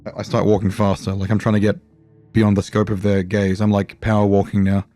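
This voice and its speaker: A man with a fairly deep, dark voice plays in a role-playing podcast. He describes his character's actions casually, with faint music behind him. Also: completely flat delivery, a little fast, no room sound.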